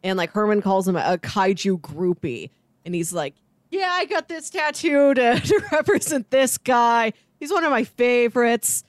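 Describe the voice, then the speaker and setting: A woman with a fairly high voice chats casually as a podcast co-host. Her pitch moves around in a lively, animated way, and the sound is dry with no room to it. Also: normal speed, no room sound. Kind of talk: casual, animated chat about a film.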